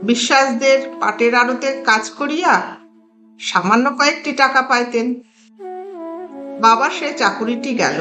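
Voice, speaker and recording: A woman narrating a literary audio story in a measured, expressive storytelling manner. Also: sits high. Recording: roomy echo, music underneath.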